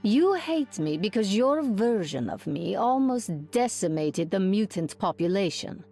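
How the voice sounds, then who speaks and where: Mellow-toned, pointed rival heroine. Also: female voice, medium pitch, sing-song, unhurried, soft background music. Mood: cool, accusing. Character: sharp, hostile mutant woman, accusing rival.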